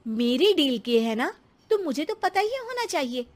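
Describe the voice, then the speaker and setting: A woman's voice: sits high, sing-song, moderate pace, pitched above the performer's own voice. A woman voicing an outspoken young bride in a cartoon story, cheeky and direct in manner. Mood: questioning, firm. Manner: pert, direct.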